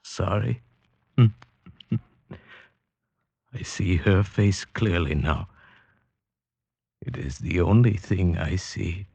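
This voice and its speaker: A man's voice: sits low, very slow, vocal fry, long pauses, dark tone, slightly rough, no room sound, full character voice. A man acting a haunted, passionate mountaineer in an old radio drama, telling his story in a lyrical, dramatic way. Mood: haunted, weary. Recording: old radio recording, orchestral score behind.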